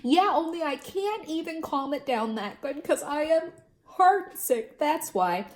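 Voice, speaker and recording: A woman doing a shrill excitable kindergarten girl. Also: unhurried. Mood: indignant. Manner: shrill, shouting, breathless outbursts.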